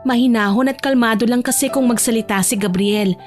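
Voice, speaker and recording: Female narrator, audio drama. High-pitched scripted storytelling, light music bed behind. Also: fairly quick.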